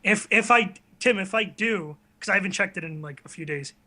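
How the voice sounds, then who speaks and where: Guy with a high bright voice, casual chat as a guest on a show. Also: brisk. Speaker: interview guest, online video creator. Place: bedroom.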